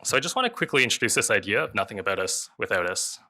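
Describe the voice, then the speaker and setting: A man with a fairly low voice moderates a panel. His tone is very bright and forward, and he speaks in the prepared manner of a formal introduction. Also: sing-song, racing.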